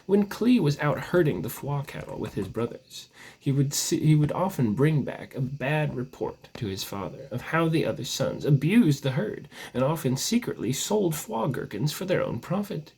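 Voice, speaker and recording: A man with a husky voice reads a storybook legend aloud as narrator, stretching words with theatrical emphasis. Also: fairly high pitch, animated, moderate pace, darkish tone, poor audio.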